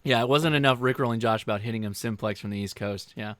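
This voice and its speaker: Male American livestream host, crisp and bright delivery, informal and chatty, speaking straight to viewers. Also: lowish voice, fast talker, dry recording. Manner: informal, joking, addresses viewers directly. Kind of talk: casual livestream chat, answering viewer questions.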